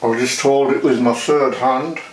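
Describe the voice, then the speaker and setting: A man talks through a hands-on job step by step in a plain, matter-of-fact manner. His pace is slow and his pitch sits in the middle range for a man. There is some faint noise behind his casual demonstration. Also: steady tone, poor audio. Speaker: DIY demonstrator.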